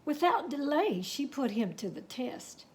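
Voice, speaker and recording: A woman reads a children's story aloud. She takes her time, stretching words in a folksy, expressive way and speaking to her listeners directly. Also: high-pitched, a little slow, a little hiss or hum. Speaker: storyteller reading a picture book aloud. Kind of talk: animated children's read-aloud with folksy asides.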